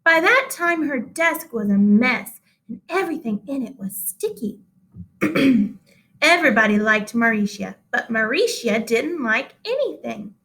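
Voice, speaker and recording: A woman reading a children's story aloud expressively, like a teacher, with deliberate pauses and emphasis. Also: fairly high pitch, very animated, a bit bright, low-quality recording.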